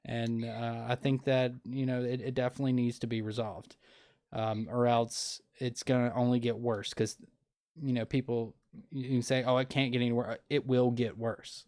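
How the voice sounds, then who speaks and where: A man's voice: medium pitch, level tone, normal speed, a little mellow. A man hosting a casual interview show, talking earnestly with many restarts and fillers. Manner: earnest, opinionated. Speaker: podcast host.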